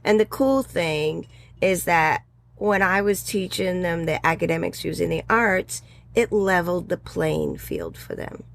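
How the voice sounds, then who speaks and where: A woman in her sixties, a guest in an interview, telling stories in a rambling, animated way with fillers. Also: on the low side, measured pace, vocal fry, a little hiss or hum. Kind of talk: casual interview chat.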